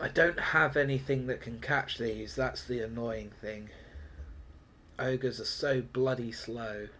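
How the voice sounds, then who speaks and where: A man with a stuffy, blocked-up voice from a heavy cold narrates his gameplay at an unhurried pace. He talks to himself, chuckles often, and barks the odd battle call. His voice sits in an ordinary middle register. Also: medium pitch, steady tone, pushed and bright, a little hiss or hum. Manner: chatty, laughs often, mutters to himself between battle calls. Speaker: gaming streamer. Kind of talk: live gameplay commentary.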